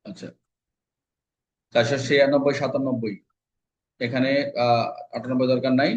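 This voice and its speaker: A man's voice: mid-range voice, level tone, normal speed. A man with a Bangladeshi accent lecturing informally as an exam coach, repeating points, asking and answering his own questions and mixing in English legal terms. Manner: repetitive, drilling with rhetorical questions he answers himself. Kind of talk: informal lecture explaining legal code sections. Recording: dull, lo-fi audio.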